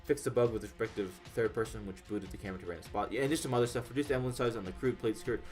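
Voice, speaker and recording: A man's voice: lowish voice, very fast, darkish tone. A congested young man with a nasal voice, casually rambling and swearing as he reads game patch notes aloud. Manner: rambling, profane, frequent fillers and restarts. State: congested, under the weather. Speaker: gaming YouTuber. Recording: faint background music.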